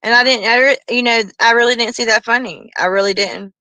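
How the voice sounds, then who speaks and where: Woman, soft, agreeable, bright tone, dry close sound. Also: fairly high pitch, fairly even intonation, fairly quick, no room sound, poor audio. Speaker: panel guest. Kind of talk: casual livestream chat.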